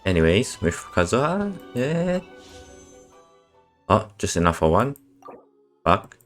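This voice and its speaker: A man with a low voice comments casually on a game he is streaming. He mutters brief reactions and swears mildly, with soft music behind him. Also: big pitch swings, slow pace.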